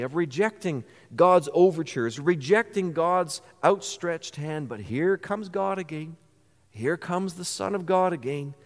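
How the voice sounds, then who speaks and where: A man preaching a sermon as pastor, earnest, posing rhetorical questions to the congregation. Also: a little high. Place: church sanctuary. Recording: church hall reverb.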